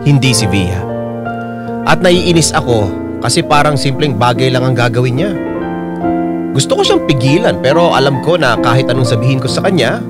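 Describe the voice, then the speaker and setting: A troubled young Filipino boyfriend voiced by a male radio actor confides in an earnest, frustrated monologue. His pitch is middling, and loud piano music plays behind him. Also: animated, moderate pace, close to an everyday voice. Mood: troubled, confessional. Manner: confessional, earnest. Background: loud piano keyboard music.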